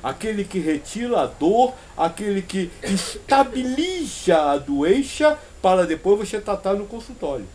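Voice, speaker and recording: A man with a Brazilian accent speaks in a very high register with swooping, lively intonation. He teaches a group informally, repeating phrases for emphasis and posing rhetorical questions. There is slight noise on the live recording. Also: a little slow, few pauses. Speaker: spiritual teacher leading a group discussion. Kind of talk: informal spiritual talk answering questions.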